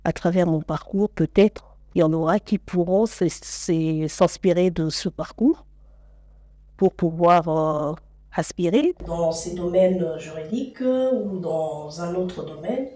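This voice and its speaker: A woman from the Democratic Republic of the Congo with a Congolese French accent, slowly telling her life story as an interview guest, hesitating often with "euh". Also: on the low side, mellow. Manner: hesitant, frequent "euh" fillers. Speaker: show creator, interviewee. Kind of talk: slow, measured personal storytelling. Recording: distant, echoey room with faint ambience.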